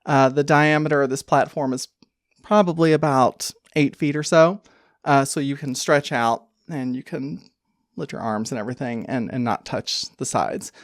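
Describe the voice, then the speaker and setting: A woman runs a tabletop game as game master. She describes scenes in a conversational, halting way, in a dry, close recording. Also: very deep voice, no room sound. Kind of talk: improvised tabletop game narration.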